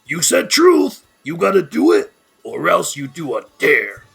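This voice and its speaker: A man playing an excitable, nosy and dramatic young friend with a gravelly rasp in an audio drama. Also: fairly high pitch, a little slow, faint music behind, full character voice. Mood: nosy, pushy. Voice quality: gravelly, raspy. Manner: incredulous, theatrical. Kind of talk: amateur audio drama acting.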